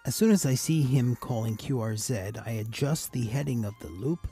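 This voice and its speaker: A man giving a casual, measured instructional voice-over as an amateur radio operator hosting a tutorial. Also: mid-range voice, animated, warm tone, slightly rough, soft background music.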